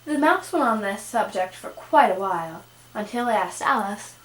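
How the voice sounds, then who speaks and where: A woman's voice: mid-range voice, expressive, moderate pace. A woman narrating a storybook puppet show. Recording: roomy, echoing home recording.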